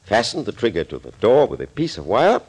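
An actor playing a suave, gloating villain doctor in an old radio thriller, urbane, theatrical and mockingly courteous. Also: male voice, mid-range voice, huge pitch swings, fairly quick, a little mellow, slightly rough. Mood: gleeful, boasting. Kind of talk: 1940s radio acting. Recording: old scratchy 1940s radio recording.

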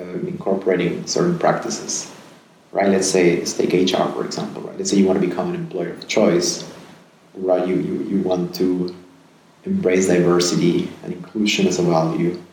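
A man's voice: low voice, flat delivery, normal speed, darkish tone. A man from Peru, in his thirties, answering interview questions thoughtfully, often repeating words and ending sentences with "right?". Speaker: podcast guest. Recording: very echoey room, faint ambient noise.

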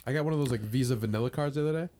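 A man's voice: mid-range voice, rapid-fire, dark tone, a little hiss or hum. Rambling young man, casual podcast chat. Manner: rambling, swears freely, many fillers. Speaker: podcast co-host.

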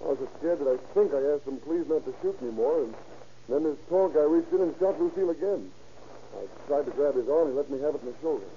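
An actor playing a wounded, frightened young husband in an old-time radio crime drama. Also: male voice, a little high, animated, fast talker, warm tone, close to an everyday voice. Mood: frightened, defensive. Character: wounded, frightened young husband pleading, then recounting a holdup. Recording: muffled, noisy old radio transcription.

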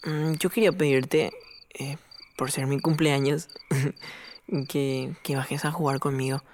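An actor playing a lonely, shy ten-year-old boy in an audio drama, speaking haltingly and pleadingly. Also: medium pitch, steady tone. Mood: wistful, pleading. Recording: faint noise, night insects and frogs. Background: crickets and frogs at night.

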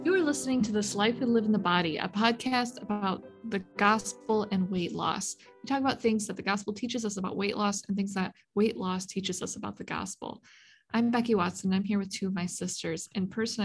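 A woman's voice: mid-range voice, brisk, faint music behind. A woman in her thirties hosting a casual faith podcast, chatty, laughing often and saying "like" a lot. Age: 30s. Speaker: podcast host. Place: in a room with a co-host, in person.

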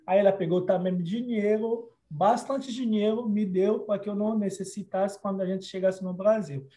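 A man's voice: sits high, fairly even intonation, normal speed. A man from Congo, not a native speaker of Portuguese, earnestly telling his life story in an interview. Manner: earnest storytelling with frequent restarts and soft laughs.

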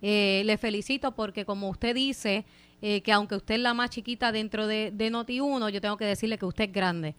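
A woman's voice: mid-range voice, normal speed, a bit bright, clean tone. A woman with a Puerto Rican accent, a legislator, speaking politely as a radio guest with some hesitant fillers. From Puerto Rico. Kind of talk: formal, courteous radio chat. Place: radio studio.

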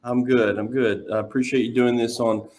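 A man's voice: mid-range voice, little pitch movement, moderate pace, darkish tone. A man hosting a podcast who asks loose, casual questions that stumble over fillers and restarts. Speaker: podcast host. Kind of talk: casual interview.

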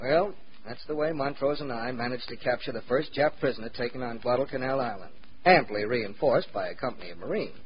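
A man's voice: medium pitch, close to an everyday voice. An actor playing a wry, plucky American war correspondent, narrating his experiences in a dramatic 1940s radio style. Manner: dramatic, measured, wry understatement. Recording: muffled, noisy 1940s radio recording.

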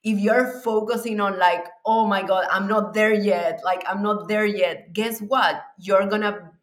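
A woman's voice: mid-range voice, fairly even intonation, measured pace, roomy sound. Woman with a Puerto Rican accent. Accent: Puerto Rican Spanish. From Cuba. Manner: enthusiastic, reflective, laughs often. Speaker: mindset coach and podcast guest. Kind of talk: casual podcast interview.

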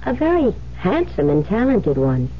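An actress playing a young bride, anxious yet affectionate, in a 1970s radio drama. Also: female voice, medium pitch, huge pitch swings, normal speed. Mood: affectionate, teasing. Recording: dull old radio recording, faint hum.

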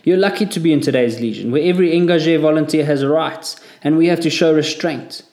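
A man's voice: fairly high pitch, brisk, voice pushed up, lightly acted. A man voicing a harsh, contemptuous army corporal who barks his orders with scorn, recorded in an echoey room. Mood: barking scorn. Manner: barking, scornful shouting.

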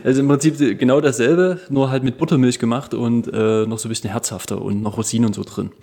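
Man, Saxon accent, husky voice, lively podcast co-host. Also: mid-range voice, fast talker. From Saxony, Ore Mountains, Germany. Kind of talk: casual chat.

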